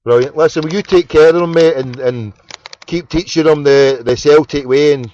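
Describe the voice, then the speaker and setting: A man's voice: fairly high pitch, moderate pace, darkish tone, lo-fi sound. A Scottish man hosting a casual phone-in, chatty and sweary, often repeating his words. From Scotland. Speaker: phone-in host.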